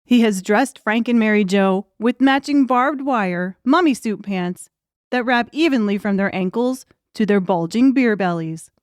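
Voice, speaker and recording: A woman's voice: mid-range voice, measured pace, no echo, studio quality. A woman reading scripted audiobook narration as the storyteller.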